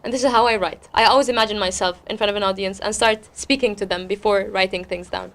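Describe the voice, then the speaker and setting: A woman's voice: mid-range voice, steady tone, quick pace, a bit bright. A woman who is an interview guest and poet, speaking expressively and repeating words for weight. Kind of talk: interview talk and spoken-word poetry recital.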